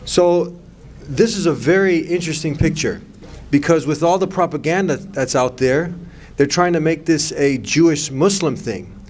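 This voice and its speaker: A man's voice: fairly high pitch, normal speed, a little mellow, a little hiss or hum. A man hosting an interview session, asking friendly prompting questions. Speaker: interview host. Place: mosque event.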